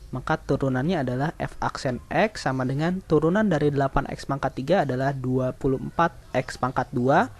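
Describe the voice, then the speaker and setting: Methodical man teaching. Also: medium pitch. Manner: methodical, explanatory. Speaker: mathematics teacher. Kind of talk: step-by-step lesson narration.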